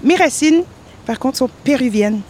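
A woman from northern Ontario whose first language is Spanish, introducing her songs in a soft, conversational way at home. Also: sits high, lively intonation, moderate pace, a little hiss or hum. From northern Ontario, Canada. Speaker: singer-songwriter introducing songs. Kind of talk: casual stage talk between songs.

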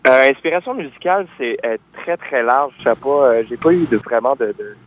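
A man's voice: mid-range voice, expressive, a little fast. A young man, born in France, answering interview questions as a singer-songwriter, with many fillers and restarts. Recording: dull lo-fi recording.

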